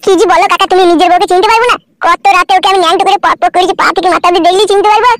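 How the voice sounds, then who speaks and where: Whiny tattletale village lad played by a man: high-pitched, very fast, pleading. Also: fairly even intonation, a bit bright. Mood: complaining. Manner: pleading, insistent, complaining. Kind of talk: comedy cartoon dialogue.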